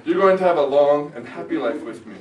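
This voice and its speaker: A man's voice: a little high, expressive, fast talker, lo-fi sound, close to an everyday voice. A man playing a brooding, protective young vampire lover in a comic dramatic script reading. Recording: echoey room, far from camera mic.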